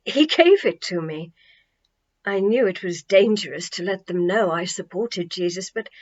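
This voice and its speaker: Woman as a hushed, earnest man in a storybook. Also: mid-range voice, muffled recording. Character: gentle, earnest man recounting a burial.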